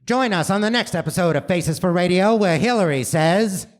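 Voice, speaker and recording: A man's voice: sits high, lively intonation, moderate pace. A man giving scripted narration as the announcer of a live radio play. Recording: noisy live theater stage recording.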